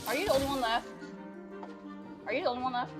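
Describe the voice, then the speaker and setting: A woman streaming a video game with casual, sarcastic, sweary commentary and deadpan jokes. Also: sits high, fast. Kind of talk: casual live gameplay commentary. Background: video game music.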